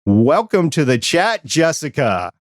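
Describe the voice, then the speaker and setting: A man's voice: a little high, big pitch swings, unhurried, dry recording, clean studio sound. A man hosting a hobby podcast, chatting in an enthusiastic, conversational way. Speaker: podcast host. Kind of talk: casual podcast chat and news roundup.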